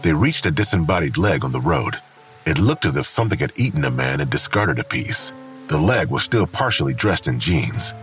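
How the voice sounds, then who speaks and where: A man with an American accent narrating a crime audio drama as a grave, detached storyteller in a measured way. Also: rumbling low, fairly bright tone, full character voice. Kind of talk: scripted noir crime narration. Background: faint music.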